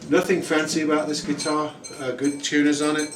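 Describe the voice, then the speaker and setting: A man in his forties giving a relaxed home guitar review, rambling with many ums and uhs. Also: on the high side, brisk. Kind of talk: casual product review. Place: small room at home, indoors. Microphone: camera mic at a distance. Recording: roomy, distant camera audio with noise. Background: acoustic guitar playing.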